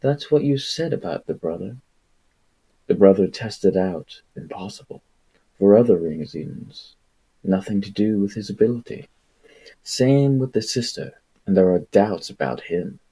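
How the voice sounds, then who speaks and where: Man reading cold, gravelly military recruiters. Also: on the low side, animated, measured pace, dark tone, full character voice, low-quality recording. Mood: skeptical. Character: stern adult military recruiters debating a child, cold, cynical.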